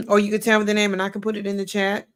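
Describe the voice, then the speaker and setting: A woman's voice: mid-range voice, flat delivery, fast talker. A woman hosting an online show over a video call, speaking casually and repeating her thanks to guest and viewers. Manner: warm-hearted, repetitive thanks, casual. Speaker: host. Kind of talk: closing remarks of an online show.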